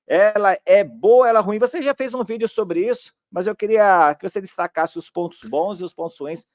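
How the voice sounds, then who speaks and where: A man hosting a conversational interview, addressing viewers and building points haltingly with repeated words. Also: high-pitched, darkish tone, no echo, studio quality. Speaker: interview show host.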